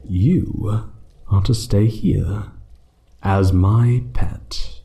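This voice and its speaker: A man voicing an ancient, playful yet menacing dragon in a fantasy ASMR roleplay, speaking theatrically and condescendingly, sometimes hushed. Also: fairly low pitch, extremely slow, vocal fry, exaggerated voice. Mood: smug, condescending. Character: ancient male dragon, playful, menacing hoard guardian toying with intruder.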